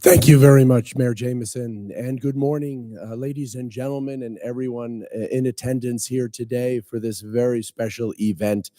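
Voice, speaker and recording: A man speaks at a veterans breakfast, his voice worn hoarse by a cold. He delivers formal, earnest remarks with touches of light humor. His tone is mellow and dark, and the sound is dry, with little room around it. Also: mid-range voice, fairly even intonation, moderate pace, hardly any pauses, no room sound. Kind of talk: formal public speech. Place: city council chamber.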